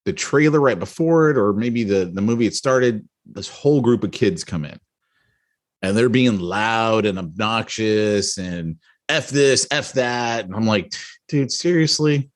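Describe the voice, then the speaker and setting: A middle-aged man co-hosting a podcast, telling a story in a rambling, animated way with many restarts and asides. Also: on the low side, measured pace. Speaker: podcast co-host. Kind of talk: casual storytelling chat.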